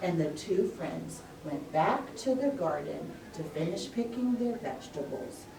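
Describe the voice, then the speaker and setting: Animated woman storyteller in an echoey room. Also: lowish voice, unhurried, a crowd in the background. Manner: expressive, simple phrasing for small children. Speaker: storyteller and puppeteer for young children. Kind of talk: live children's story narration. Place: room with a pre-school class. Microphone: distant recording mic. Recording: echoey room, distant mic, faint noise.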